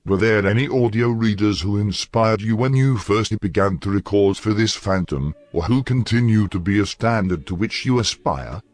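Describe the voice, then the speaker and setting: Low-voiced male interviewer, dry sound. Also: moderate pace, few pauses, a little mellow, no room sound. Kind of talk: prepared interview questions.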